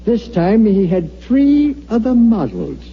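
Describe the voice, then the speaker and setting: Wry, brusque newspaperman, high-pitched. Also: wildly swooping pitch, slow, husky, heavily acted. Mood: intrigued. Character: seasoned, stubborn, wry newspaper editor called Pop. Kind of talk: old-time radio drama acting. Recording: muffled old-time radio recording.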